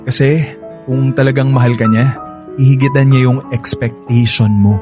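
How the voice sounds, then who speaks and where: A man's voice: mid-range voice, steady tone, moderate pace, lightly acted. Man acting earnest young Filipino suitor over music. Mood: caring, earnest. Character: gallant young suitor Nathan, eager and bashful. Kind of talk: Filipino radio romance drama acting. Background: background music.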